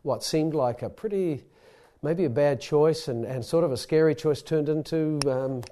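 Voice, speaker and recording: A man's voice: on the high side, moderate pace, dark tone, a little hiss or hum. A middle-aged man with a New Zealand accent telling a rambling, self-deprecating personal story to a live audience.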